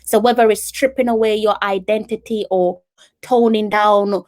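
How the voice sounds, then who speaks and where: A woman hosting an interview, talking in a relaxed, conversational way and asking thoughtful questions. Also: medium pitch, normal speed. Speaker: interviewer, host. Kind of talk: casual interview chat.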